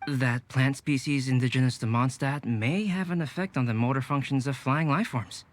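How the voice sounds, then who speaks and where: Actor as polite, exacting game host-cook, mid-pitched. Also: male voice, normal speed, heavy background noise, natural voice. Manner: polite, precise. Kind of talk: game voice acting.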